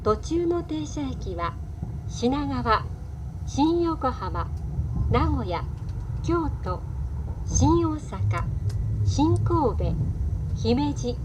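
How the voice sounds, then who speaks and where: High-pitched woman making polite train announcements. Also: sing-song. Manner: polite, formal, measured. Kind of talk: scripted pre-recorded train announcement. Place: played inside a moving train carriage. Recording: onboard train speaker with clear vehicle noise. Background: train rumble and cabin noise.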